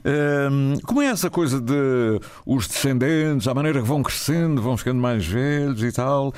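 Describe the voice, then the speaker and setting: A man's voice: medium pitch, normal speed, a little mellow, smooth. A man interviewing a guest in an informal, conversational way, often prompting with tag questions.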